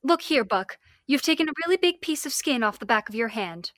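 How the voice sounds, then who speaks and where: Woman as firm, high-voiced space lieutenant. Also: lively intonation, fairly quick, fairly bright tone, no room sound, clean studio sound. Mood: insistent, concerned. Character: firm, caring female space lieutenant, bossy and practical. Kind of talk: old-time radio drama acting.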